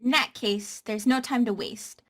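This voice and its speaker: A woman's voice: fairly high pitch, steady tone, measured pace, fairly bright tone. A woman reading character lines aloud in an amateur script table read.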